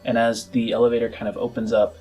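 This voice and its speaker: A man narrating a tabletop roleplaying game as game master, in halting, measured phrases. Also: medium pitch, completely flat delivery, a little mellow, slightly rough, soft background music.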